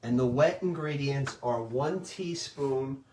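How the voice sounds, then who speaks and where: Middle-aged new guy teaching a cooking class online, loud and chatty, echoey room, mic kinda far. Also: mid-range voice, takes it slowly, slight background noise. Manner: loud, chatty, talks straight to viewers. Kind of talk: live virtual cooking class.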